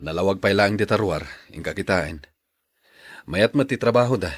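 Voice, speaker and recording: A man's voice: deep voice, normal speed. A man with an Ilocano accent playing a patient, agreeable husband and jeepney driver in a radio drama. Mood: placating.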